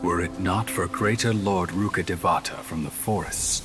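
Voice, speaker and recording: A man's voice: low voice, lightly acted. A man voicing a grave ancient priest. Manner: grave, measured storytelling. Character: solemn ancient male priest recording a lament. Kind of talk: game cutscene narration. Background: loud music.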